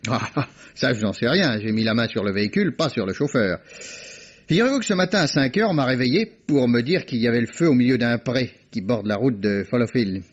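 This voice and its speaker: A man plays a shrewd, jovial police inspector in an old radio mystery, sure of himself and fond of a teasing chuckle. His voice sits mid-range with a husky edge. It comes through a dull, muffled archive recording. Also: medium pitch, lively intonation, brisk, lightly acted. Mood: confident. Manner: self-assured, teasing, chuckling. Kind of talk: 1950s French radio drama acting.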